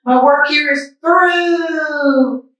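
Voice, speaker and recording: A woman's voice: high voice, extremely slow, a bit bright, pitched above the performer's own voice, heavily acted. Friendly old man from a children's storybook, cheery and drawn out, voiced by a woman, roomy distant sound. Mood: cheerful. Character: friendly old man who flies a balloon. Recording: echoey room, mic far off.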